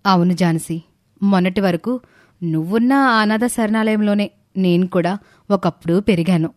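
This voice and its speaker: A woman voicing a kind, reassuring teacher in a story, with a calm, measured manner. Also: medium pitch, no echo, clean studio sound, close to an everyday voice. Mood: calm, confiding.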